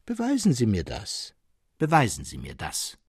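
A man playing a serene, mad hermit who believes himself a martyred monk, speaking calmly, eloquently and insistently in a dramatic audiobook reading. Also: mid-range voice, moderate pace. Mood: insistent challenge. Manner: calm, eloquent, insistent, with rhetorical questions.

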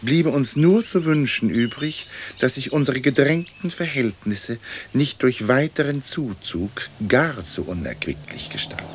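Pompous ghostly old lord. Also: male voice, medium pitch, animated, a little slow, background noise. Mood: stately, uneasy. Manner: pompous, ornate, formal diction. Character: ghost of an aristocratic old English lord, dignified, ironic. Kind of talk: radio drama acting.

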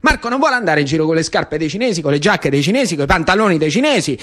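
A man's voice: a little high, very animated, very fast, a bit bright. A man with a Roman accent performing a dramatic storytelling monologue, building incantatory lists and repetitions. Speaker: actor-narrator.

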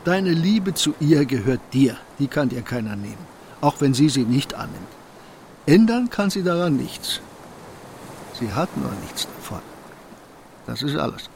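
An actor playing a wise, gentle old shopkeeper in a radio play, speaking in calm, unhurried aphorisms, with a gravelly voice. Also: male voice, medium pitch, a little hiss or hum. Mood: gently philosophical. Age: older.

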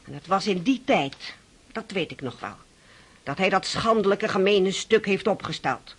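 An actress playing a spiteful, indignant aristocratic niece in a radio drama, speaking haughtily and vehemently in a breathy, husky voice. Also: female voice, mid-range voice, expressive, moderate pace. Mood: bitter indignation. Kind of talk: Dutch radio drama acting.

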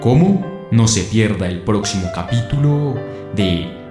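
A man's voice: lowish voice, lively intonation, measured pace. A man giving dramatic scripted narration for a radio soap opera. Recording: roomy echo, faint guitar music behind.

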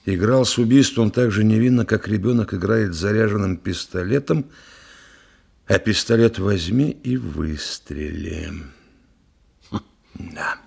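An actor playing a thoughtful investigator in a radio play, measured and reflective, with a gravelly, husky voice. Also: male voice, fairly low pitch, a little mellow, full character voice. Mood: pondering. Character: thoughtful investigator pondering a theatre murder. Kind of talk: dramatic radio play dialogue.

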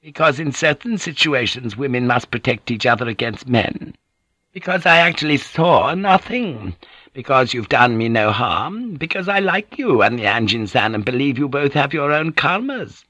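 Male narrator as shrewd Japanese businesswoman, audiobook: raised pitch, bright, deferential, insistent. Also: normal speed, no echo. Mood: earnest pleading. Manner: flattering, insistent, humbly courteous.

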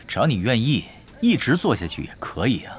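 A man acting in an audio drama as a laid-back, shrewd gamer, nonchalant and smoothly persuasive. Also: mid-range voice, wildly swooping pitch, moderate pace. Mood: teasing, breezy. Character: easygoing, shrewd, laid-back male gamer recruiting helpers for his guild. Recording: studio drama with loud background music.

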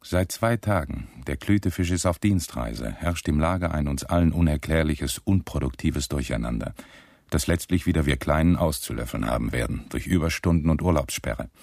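A very deep, dryly recorded man's voice plays an aggrieved SS sergeant in a radio drama. He reads out his diary like a callous little official, complaining and pitying himself. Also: expressive, moderate pace, creaky, a little mellow, no room sound, clean studio sound, exaggerated voice. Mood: officious, griping. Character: aggrieved low-ranking male SS sergeant, petty, callous camp functionary.

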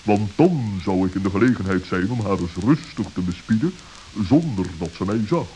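A man voicing a pompous, suspicious, scholarly owl with a wordy, deliberate manner in a children's radio play. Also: lowish voice, expressive, mellow, natural voice. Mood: regretful, pondering. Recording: hissy, crackly old audio recording.

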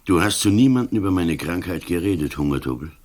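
An older actor with a Swiss German accent playing a calm, stubborn, ailing police commissioner in a radio play, speaking cold and firm. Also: male voice, sits low, fast. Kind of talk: 1980s radio play acting.

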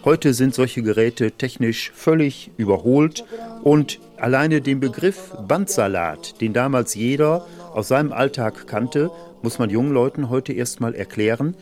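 A man's voice: medium pitch, unhurried, darkish tone, soft background music. A man explaining exhibits as a museum director, in a guided-tour style of talk.

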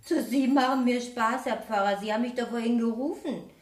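An actress playing a cheeky, chatty, simple-hearted housemaid in a German radio play, pert and artless in manner. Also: female voice, a little high, brisk, echoey room, lightly acted.

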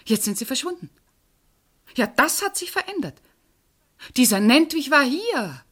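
An actress playing a pointed, suspicious witness under questioning in a radio crime drama. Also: female voice, high-pitched, big pitch swings, a little slow, a bit bright, heavily acted. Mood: agitated, accusing. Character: pointed, suspicious female witness, friend of the victim. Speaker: actor.

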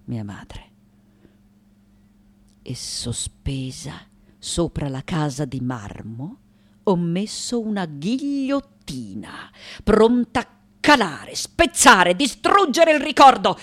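An elderly, eccentric woman artist delivers a radio play monologue. She is intense, wry and haunted, with a dramatic delivery that turns breathy when hushed. Music plays behind her. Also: mid-range voice. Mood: hushed, pained, then resolute, brooding. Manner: intense, dramatic, shifting between whispers and emphatic declamation. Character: aged female sculptor, haunted, obsessive, wry and eccentric.

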